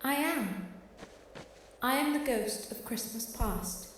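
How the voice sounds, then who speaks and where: A woman's voice: high-pitched, expressive. A woman voicing a solemn echoing spirit. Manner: slow, solemn, measured. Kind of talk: audio drama acting. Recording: heavy echo, faint noise.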